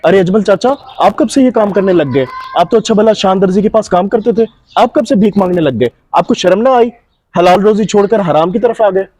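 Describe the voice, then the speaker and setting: Man acting a preachy young man, mellow voice. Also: high voice, lively intonation, fairly quick, a little hiss or hum. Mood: reproachful, surprised. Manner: earnest, preachy. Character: righteous young man, moralising and respectful to elders. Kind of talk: amateur moral short-film acting.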